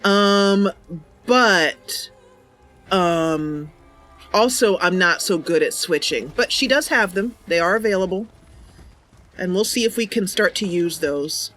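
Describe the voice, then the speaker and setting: A woman's voice: on the low side, expressive, slow pace, fairly bright tone, soft background music. A woman hosting a roleplay game livestream, chatty and welcoming, switching between casual commentary and narration. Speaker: streamer, roleplay game host.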